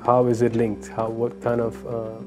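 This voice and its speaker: A man's voice: medium pitch, completely flat delivery, a little slow. A man hosting a casual studio interview, asking questions in conversational language. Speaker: interviewer, host. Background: plucked guitar music.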